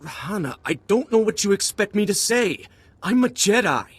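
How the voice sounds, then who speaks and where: A man's voice: high-pitched, very animated, moderate pace, husky, no echo, heavily acted. An actor voicing a stern, righteous middle-aged male warrior monk in a video game, speaking formally and earnestly. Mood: conflicted, solemn. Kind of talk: dramatic video game cutscene acting.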